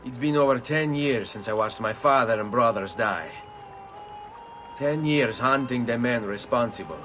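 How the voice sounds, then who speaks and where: An actor playing a determined young male assassin in a game cutscene, speaking in a low, intense way. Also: lively intonation, moderate pace, fairly bright tone, faint music behind, natural voice. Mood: brooding. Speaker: game protagonist. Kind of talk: video game cutscene acting.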